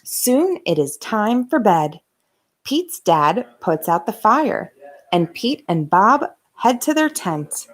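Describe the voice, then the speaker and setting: A woman reads a children's bedtime story aloud. Her pace is slow and careful, with lively swings in pitch and gentle dramatic emphasis on key words. Her pitch sits in a middle female range. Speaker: host of a bedtime story read-aloud channel. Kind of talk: expressive children's storybook narration.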